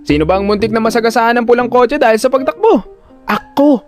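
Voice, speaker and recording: A man's voice: very high voice, quick pace, faint music behind. A man with a Filipino accent playing a panicky, talkative older brother who narrates his own story in an audio drama and gets easily flustered and exasperated. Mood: indignant. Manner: flustered, stammering when pressed, exasperated.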